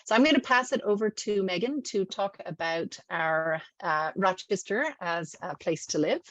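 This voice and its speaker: A woman's voice: fairly low pitch, normal speed, dry recording. A middle-aged woman, a residency program director, giving a casual webinar talk with many ums and asides. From not from the Midwest. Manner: warm, chatty, many fillers. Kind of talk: webinar presentation. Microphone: video call.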